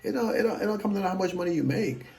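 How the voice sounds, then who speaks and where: Gravelly man in his fifties. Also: high voice, very fast, mellow. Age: 50s. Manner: blunt, self-assured and rambling. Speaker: investing vlogger. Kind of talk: casual talk to camera.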